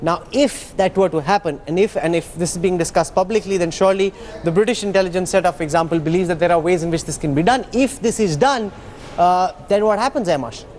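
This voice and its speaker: An Indian-accented man hosts a news discussion in a distinctly high voice. He puts dramatic, probing questions to his guests. Slight noise sits behind him. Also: fast talker, a little mellow. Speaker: news anchor. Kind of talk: broadcast news interviewing.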